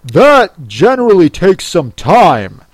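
Woman acting a brash, shouty storybook gryphon. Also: fairly low pitch, sing-song, slow, slightly rough, no room sound, voice pushed up, full character voice. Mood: brash, loud. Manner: shouty, emphatic. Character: brash, bossy male Gryphon, loud storybook beast.

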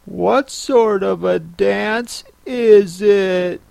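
A woman voicing a timid, polite little girl from a storybook, soft and hesitant. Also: mid-range voice, very slow, vocal fry, no room sound, pitched above the performer's own voice, full character voice. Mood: polite, curious.

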